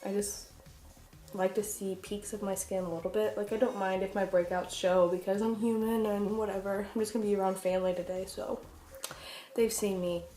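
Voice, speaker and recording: A woman's voice: mid-range voice, flat delivery, normal speed, dark tone, soft background music. Young female beauty vlogger, nasal and congested with a cold, informal and chatty, recorded in a roomy, echoey space. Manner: chatty, self-deprecating, informal. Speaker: beauty vlogger, makeup artist. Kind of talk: casual get-ready-with-me makeup tutorial.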